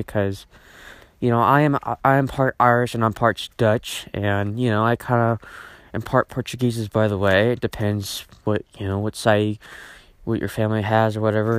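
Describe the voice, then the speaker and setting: Rambling teenage guy, smooth dry voice. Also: on the low side, dry recording. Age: teens. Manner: rambling with many fillers and restarts, casual swearing. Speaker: podcast host. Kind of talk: rambling solo podcast monologue.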